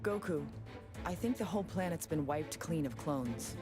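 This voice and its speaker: An actress playing a dry, deadpan, unimpressed female fighter in an English anime game dub. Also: low voice, normal speed, music in the background. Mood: matter-of-fact.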